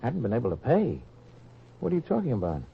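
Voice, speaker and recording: An actor playing a sly, freeloading gambler, a smug false friend who talks in a condescending, coaxing way in old-time radio drama. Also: male voice, mid-range voice, huge pitch swings, quick pace, a little hiss or hum. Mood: coaxing, chiding. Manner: smooth-talking, condescending, mocking. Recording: dull lo-fi radio-style recording.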